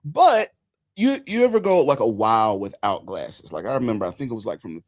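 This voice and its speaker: A man of forty hosting a casual political chat, telling stories animatedly, swinging between loud and hushed. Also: medium pitch, very animated, brisk. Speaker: podcast host.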